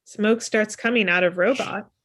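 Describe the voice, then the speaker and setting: Mid-pitched woman reading stage directions. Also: normal speed, fairly bright tone. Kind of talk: scripted narration at a table read. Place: indoor room on a video call.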